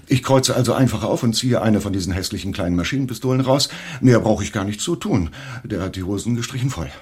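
A man's voice: on the low side, fast, a little mellow, husky. An actor playing a nervous, evasive schemer who fears for his life, talking in a hesitant, self-justifying way in a German radio drama. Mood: anxious, boastful.

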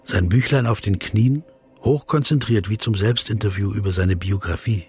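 An actor playing an observant, reflective philosopher narrating a radio play in a measured, introspective way. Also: male voice, lowish voice, darkish tone, husky, soft background music. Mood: watchful.

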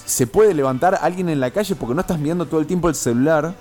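A man's voice: fairly high pitch, fast talker, a little mellow, soft background music. A young man with a Rioplatense Argentine accent, arguing his points in slangy, casual talk as a radio host. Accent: Argentine Rioplatense Spanish. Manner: argumentative, slangy. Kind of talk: casual radio panel chat.

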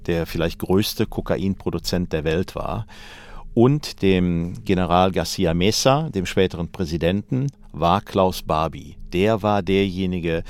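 A man's voice: low-pitched, a little mellow. A man explaining history in spontaneous spoken commentary, as in a documentary interview.

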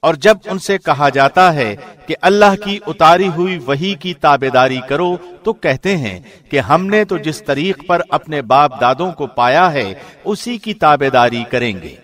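A man giving a formal studio reading of a scripture translation, measured and solemn. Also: on the high side. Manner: measured, solemn, even delivery. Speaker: translation reader. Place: recording studio.

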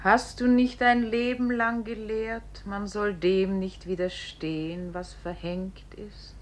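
An actress playing a calm spirit voice in a German radio play, measured, grave and oracular, on an old recording. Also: female voice, mid-range voice, lightly acted. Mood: tender, calm. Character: calm female spirit voice guiding a dead man's soul. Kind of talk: German radio play acting, solemn and poetic.

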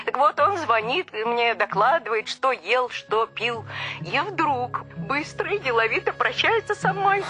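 An actress playing a chatty elderly mother who gossips fondly about her husband in a radio play. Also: high-pitched. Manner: gossipy, animated storytelling. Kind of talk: radio play monologue, phone chat.

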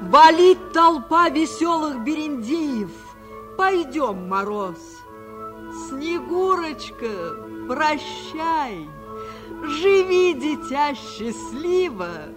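An actress playing Spring, a stately, tender mother spirit, in a declamatory, unhurried 1940s radio fairy-tale style. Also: sits high, sing-song, heavily acted. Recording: 1949 radio recording, faint music behind.

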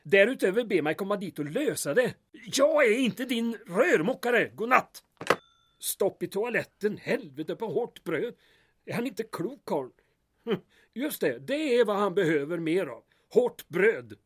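An actor playing a grumpy, pompous small-town mayor in a comic audio drama, exasperated and stiffly formal. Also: male voice, high-pitched, sing-song, slightly rough, full character voice. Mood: indignant. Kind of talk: comic radio-play acting.

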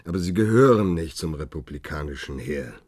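A former militant who stays calm and cold. He speaks in short, firm sentences with a low, husky voice, recorded close and dry. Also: brisk, dry recording, heavily acted. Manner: firm, curt, insistent. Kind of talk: radio drama acting.

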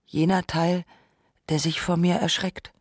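A narrator with delivering scripted radio feature text in a measured, articulate way. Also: medium pitch, level tone, no room sound, clean studio sound, close to an everyday voice.

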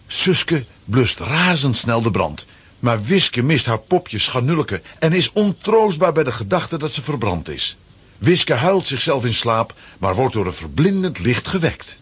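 A man with a Flemish accent and a husky voice, narrating a children's adventure as a scripted audio drama. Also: medium pitch, sing-song, a little slow, fairly bright tone, no room sound, exaggerated voice. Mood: suspenseful.

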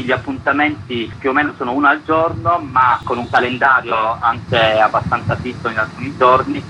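A man speaks as an interviewed festival director, explaining things at length. His voice is bright and forceful at an average pitch. There is faint noise in the recording. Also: poor audio.

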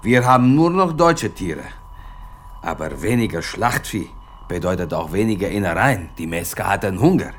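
An actor in a German radio drama playing a sick, frightened slaughterhouse guard giving halting, agitated testimony. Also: male voice, low-pitched, lively intonation, a little hiss or hum. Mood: grim, haunted.

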